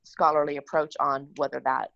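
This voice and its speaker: Lowish-voiced woman asking questions, dry sound. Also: flat delivery, normal speed, vocal fry, fairly bright tone, no echo, dull audio. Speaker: class participant asking questions. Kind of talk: conversational questioning.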